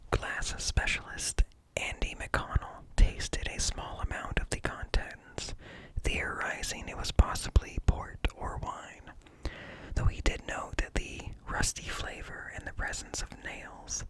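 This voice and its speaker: A man reading aloud in a hushed ASMR whisper, close to the microphone, pausing between short phrases. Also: poor audio. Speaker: ASMR creator reading encyclopedia articles aloud. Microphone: close-up microphone with ear-to-ear stereo.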